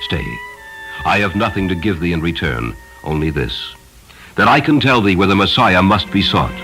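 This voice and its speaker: Man voicing a deep-toned, grateful old man blessing solemnly. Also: lively intonation, normal speed, pushed and bright, faint music behind, low-quality recording. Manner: solemn, archaic prayerful phrasing.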